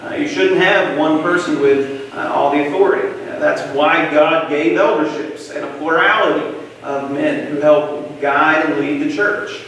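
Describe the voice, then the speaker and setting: Brief: young male preacher, slightly high voice, relaxed and hesitant teaching, long hall echo. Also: slight background noise. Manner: casual, halting, many "uh" fillers. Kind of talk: Bible class teaching. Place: church meeting room. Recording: echoey church hall, far from mic.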